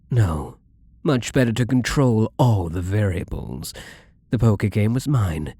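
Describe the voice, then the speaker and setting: A man's voice: on the low side, mellow, dry recording. A man playing a wealthy, obsessively devoted and controlling gambler in an intimate ASMR roleplay, with doting, menacingly tender delivery and formal wording.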